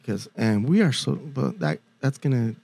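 A middle-aged musician guest on a podcast, talking in a relaxed, rambling way with many fillers. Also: male voice, mid-range voice, normal speed, no echo. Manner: laid-back, rambling, many likes and restarts. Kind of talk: casual chat. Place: podcast studio, indoors. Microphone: studio mic, playing acoustic guitar. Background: acoustic guitar.

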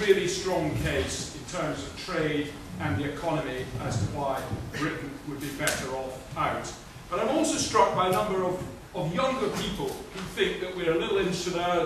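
A middle-aged Scottish man giving a brisk, assured prepared speech at a conference lectern, with frequent filler restarts. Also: a little high. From Scotland. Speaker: conference speaker. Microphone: lectern mic recorded by a far camera. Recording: echoey hall from far off, noisy.

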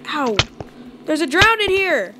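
A woman's voice: very high voice, big pitch swings, takes it slowly, noisy background. A young woman hosting a casual game commentary, chatty and upbeat as she recaps the session. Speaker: gaming channel host.

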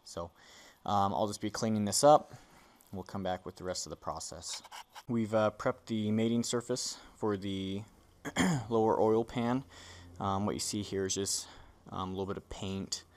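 Young guy, very low voice, easygoing DIY walkthrough while working on the floor of his garage. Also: measured pace. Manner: relaxed, many ums and uhs while working. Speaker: DIY mechanic host. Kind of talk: casual how-to explanation. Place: home garage, concrete floor. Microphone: camera on the floor nearby, hands busy.

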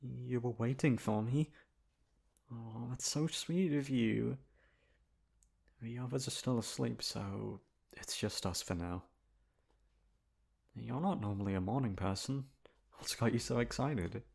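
A male voice actor plays a young man in love with his best friend in an intimate ASMR drama. He talks slowly with a gravelly creak, shifting between hesitant and bossy, impatient and blunt. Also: mid-range voice, darkish tone. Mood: playful, gentle. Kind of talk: acted ASMR roleplay.

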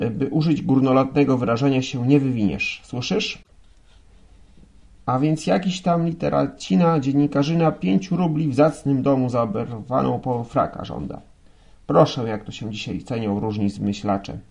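A man's voice: medium pitch, creaky, a little mellow, close to an everyday voice. A man playing a blustering, contemptuous, hot-tempered police lieutenant, scolding with sarcasm. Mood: stern, sarcastic.

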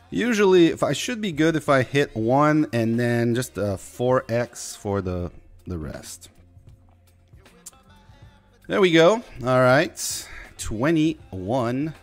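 A man's voice: mid-range voice, sing-song, slow pace, long pauses, faint music behind. A young man from Quebec with a slight Quebec French accent, casually chatting through a mobile game stream. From Quebec, Canada. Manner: rambling, chatty, talks to chat. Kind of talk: casual gameplay commentary.

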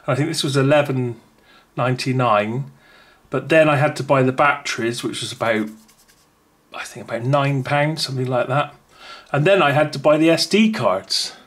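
A man's voice: mid-range voice, normal speed, a bit bright. A man casually demonstrating a small gadget at a table, rambling and correcting himself as he goes. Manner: rambling, self-correcting, chatty. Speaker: product reviewer. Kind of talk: casual unboxing demo. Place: indoors at a wooden table.

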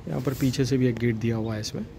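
A man's voice: medium pitch, fairly even intonation, fast. Man, casual room-by-room tour, empty house, phone mic, audible ambience. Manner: casual, descriptive, pointing things out room by room. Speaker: property tour guide. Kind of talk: walkthrough property tour. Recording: phone video with clear room noise.

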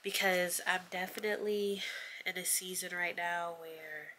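A woman's voice: fairly low pitch, a little slow, slight background noise. A woman in her twenties with a stuffy nose from allergies, chatting casually to the camera in a small bedroom. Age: 20s. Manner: relaxed, chatty, many fillers. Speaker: vlogger. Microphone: camera close up on a desk.

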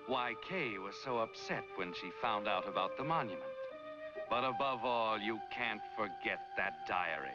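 Actor as an ominous noir narrator over loud music. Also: male voice, fairly low pitch, expressive, normal speed, lightly acted. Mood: ominous, taunting. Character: ominous, knowing, taunting male mystery narrator. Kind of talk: 1940s radio-style suspense narration. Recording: dull 1940s film soundtrack, loud music. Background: loud score.

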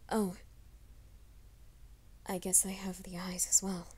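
Woman playing a young werewolf guy, whispered confession, low voice, flat slow delivery. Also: husky. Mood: anxious whisper. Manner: soft, hushed, often whispering. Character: troubled young man, secret werewolf, pleading. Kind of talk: fan audio drama, ASMR roleplay.

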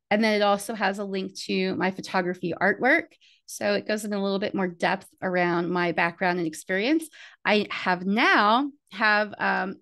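A middle-aged woman speaks casually into a desk microphone in a small home office. Her delivery is chatty and unscripted, full of ums and uhs. Her pitch jumps up brightly whenever she mentions something new. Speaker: community strategist walking through her website. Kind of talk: casual screen-share walkthrough.

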